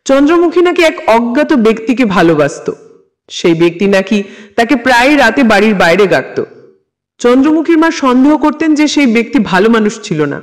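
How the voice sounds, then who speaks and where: Guy doing horror story narration, really high pitched voice, measured literary delivery, first person.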